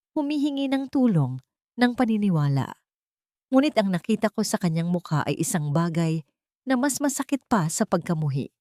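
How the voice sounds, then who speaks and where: Low-voiced woman narrating a drama. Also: warm tone, no echo, clean studio sound. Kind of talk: scripted first-person dramatic story narration.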